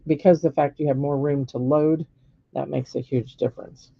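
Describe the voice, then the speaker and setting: A middle-aged woman with a hoarse voice, giving a live painting lesson step by step and checking in with viewers. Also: low voice, fairly even intonation, moderate pace, dry recording, muffled recording. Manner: patient step-by-step instruction with frequent "okay?" checks. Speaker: painting teacher. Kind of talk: live-streamed painting demonstration.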